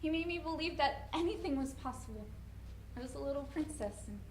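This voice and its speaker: Actress as disillusioned young mother holding firm, high voice, dramatic stage reading in an echoey room. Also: expressive, a little fast, darkish tone. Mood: wistful, resolute. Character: shaken but resolute young mother, disillusioned by her lover. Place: indoor hall at a college event. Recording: echoey room, faint background noise.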